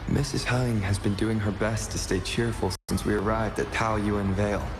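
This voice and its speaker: A man acting a calm, considerate young character in a video game, speaking thoughtfully. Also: on the low side, normal speed, noisy background, close to an everyday voice. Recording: game music behind the voice.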